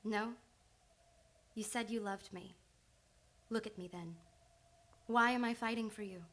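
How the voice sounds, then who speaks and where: A woman's voice: mid-range voice, steady tone, a little slow, a bit bright, natural voice. A woman playing an earnest, insistent psychoanalyst in old time radio drama, urgent and probing.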